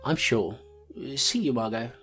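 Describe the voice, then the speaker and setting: A woman playing a low-voiced dogged constable. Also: expressive, a bit bright, faint music behind, exaggerated voice. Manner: brisk, reasoning aloud, blunt.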